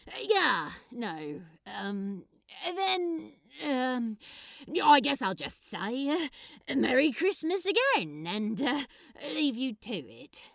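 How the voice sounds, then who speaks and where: A woman voicing a lovestruck, awkward male demon in a read-aloud story, halting and stammering with nervous hesitation. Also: sits high, big pitch swings, vocal fry, fairly bright tone, dry recording, clean studio sound, full character voice.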